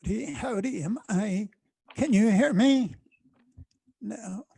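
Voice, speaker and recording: An older man with a hoarse voice giving a folksy, rambling acceptance speech over a video call, halting often on fillers. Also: high-pitched, mellow, no room sound. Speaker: award recipient, fire-fighting landowner.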